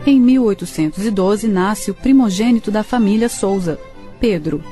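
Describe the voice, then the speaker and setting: A woman's voice: mid-range voice, moderate pace, music in the background. A woman with a Brazilian accent narrating a scripted radio drama.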